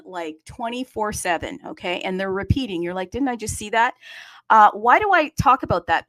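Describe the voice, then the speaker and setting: A woman hosts an online community space in an animated, off-the-cuff way. Her voice sits at a middle pitch with a dry, room-free sound. She poses rhetorical questions and answers them herself. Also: no room sound, poor audio. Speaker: host of an online audio space. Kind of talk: casual, unscripted community talk.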